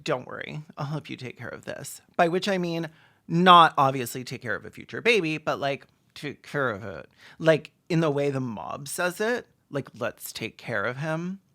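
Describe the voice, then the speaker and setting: Video commentator, medium pitch, sarcastic, comedic, deadpan asides, mock-dramatic emphasis.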